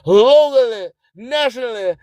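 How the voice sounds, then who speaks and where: A man's voice: very high-pitched, expressive, measured pace, a little mellow, clean tone, dry recording. A man with a Southern US accent hosting a radio show in a boastful, emphatic, preacher-like rhyming monologue. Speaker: internet radio host. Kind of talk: hype radio monologue with rhythmic, rhyming spoken-word delivery.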